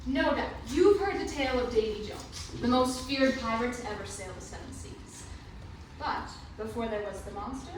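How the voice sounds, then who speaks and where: A woman's voice: on the high side, moderate pace, long pauses. A woman performing theatrical storytelling narration for readers theatre. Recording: echoey room recorded from far off, faint noise.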